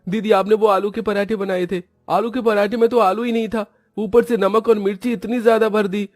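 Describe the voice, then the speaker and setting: High, whining schoolboy. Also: male voice, flat delivery, brisk, dry recording, faint music behind. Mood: angry, whining. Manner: whining, accusing. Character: sulky, scornful schoolboy younger brother.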